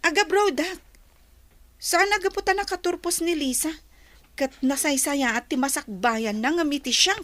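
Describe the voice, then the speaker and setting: A woman's voice: high-pitched, moderate pace, fairly bright tone, dry recording. A woman playing a resentful, ambitious young daughter in a Filipino radio drama, speaking emphatically and defiantly. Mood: determined.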